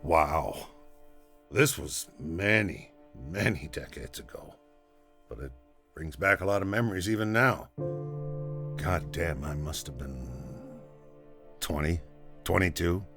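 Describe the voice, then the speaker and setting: A man playing an old former butcher in an audio drama, looking back with salty, rueful defiance in a gravelly voice. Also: low voice, a bit bright, full character voice. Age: older. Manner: wry, salty, rambling reminiscence. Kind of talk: dramatized first-person confession.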